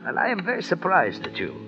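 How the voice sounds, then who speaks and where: An actor playing a formal, gently probing male doctor and confidant in a 1940s radio drama. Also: high voice, huge pitch swings, normal speed, exaggerated voice. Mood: curious, amused. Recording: muffled vintage radio recording with music.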